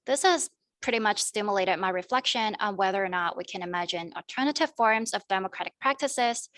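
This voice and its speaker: A woman's voice: medium pitch, fairly bright tone, no echo. A young woman giving a prepared academic presentation as a student panelist, in a formal, wordy manner. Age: young adult.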